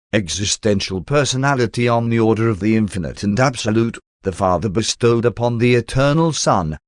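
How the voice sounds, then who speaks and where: A man reading a spiritual text as scripted narration in a measured, formal and solemn manner. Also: mid-range voice, no room sound, clean studio sound. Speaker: audiobook narrator.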